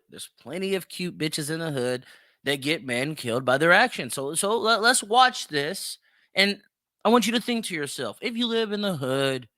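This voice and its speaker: A man's voice: on the high side, sharp tone, no echo, clean studio sound. A man hosting a solo opinion show, speaking bluntly and profanely with mocking, emphatic repetition. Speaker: podcast host.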